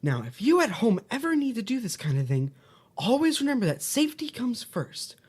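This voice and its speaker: A woman's voice: a little high, big pitch swings, fairly quick, darkish tone, husky. A woman playing a cheerful, bossy children's-show host in an audio drama, giving instructions in an upbeat, reassuring way. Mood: instructive. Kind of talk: audio drama, parody of educational kids' show.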